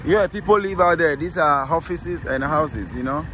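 A man's voice: on the high side, normal speed, over music. A man with a Ghanaian accent casually explaining things as a tour guide, often repeating and rephrasing himself. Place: outdoors at a busy bus station. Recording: outdoor street noise. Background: street and vehicle noise.

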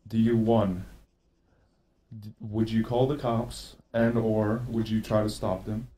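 A man roleplaying a police interviewer, asking questions in a measured, formal way. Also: fairly low pitch, steady tone, warm tone.

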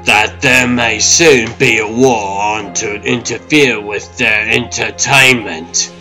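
Man voicing a brash, bright-voiced raccoon hero. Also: lowish voice, steady tone, slow, pitched below the performer's own voice, exaggerated voice. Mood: dry. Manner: dry, sarcastic, bossy. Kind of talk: comic-book dub acting. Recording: music under the voice.

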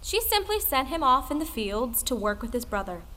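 A woman's voice: high voice, big pitch swings, moderate pace, sharp tone, exaggerated voice. A woman narrating a folktale audio play as a dramatic storyteller. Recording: long reverb, music underneath.

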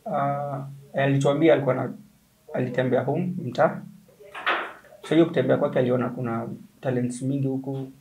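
A man's voice: mid-range voice, fairly even intonation, normal speed, pauses often, dark tone, poor audio. A man in his thirties with a Kenyan accent, answering interview questions as a manager. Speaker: gallery manager. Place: indoor art gallery room. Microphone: lapel.

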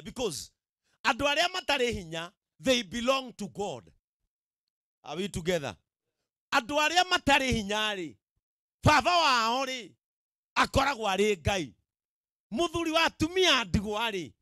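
A middle-aged Kenyan pastor with a Kikuyu accent, preaching emphatically to a gathering and often repeating himself to check they follow. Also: male voice, extremely high pitch, sharp tone, dry recording, studio quality. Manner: emphatic, repetitive, checks in with the audience. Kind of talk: sermon to a live gathering. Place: outdoor tented event. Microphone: handheld microphone.

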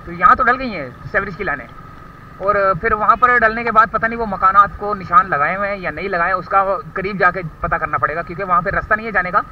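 A man with a Karachi Urdu accent talks in a high voice while riding a motorcycle through muddy streets, rambling and stopping to hunt for words. His voice comes from a helmet camera and sounds distant and dull, with faint traffic underneath. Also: quick pace. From Pakistan. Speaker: motorcycle vlogger. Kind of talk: casual ride-along commentary.

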